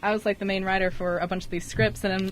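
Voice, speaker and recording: Woman radio co-host, casual and playful, mid-pitched, chatting in slang. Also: flat delivery, quick pace. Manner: joking, slangy. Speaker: radio show co-host and scriptwriter. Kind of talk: casual chat.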